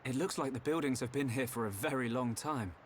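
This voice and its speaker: A man's voice: mid-range voice, fairly quick, a little hiss or hum, natural voice. Man as thoughtful young hero. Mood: puzzled.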